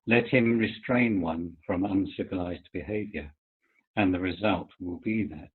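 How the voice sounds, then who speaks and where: A man reading scripture aloud over a video call in a deliberate, phrase-by-phrase way. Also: low-pitched, breathy edge. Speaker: reader, member of a Buddhist community. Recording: tinny, muffled video-call line.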